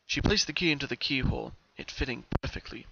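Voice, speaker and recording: A man's voice: medium pitch, fast, creaky, sharp tone, lo-fi sound. A man narrating a story from a script as a dramatic reading.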